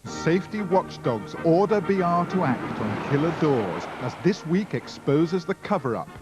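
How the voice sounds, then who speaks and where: A man's voice: fairly high pitch, very animated, normal speed. A man narrating a dramatic television programme trailer, with music behind. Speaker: trailer voice-over announcer. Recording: dull sound with music behind.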